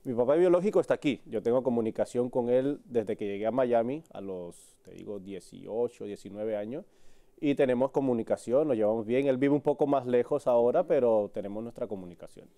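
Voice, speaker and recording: A man's voice: mid-range voice, brisk, dark tone. A man from Cuba with a Mexican accent, talking candidly as a guest in an interview. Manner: candid, speaks in short broken phrases. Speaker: sports reporter, interview guest. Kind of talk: casual interview conversation.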